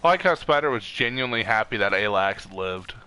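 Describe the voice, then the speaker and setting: A man's voice: lowish voice, animated, brisk, pushed and bright, clear, resonant tone. A young man chatting casually while streaming a video game, close on the mic. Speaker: gaming streamer. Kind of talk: casual gameplay chat.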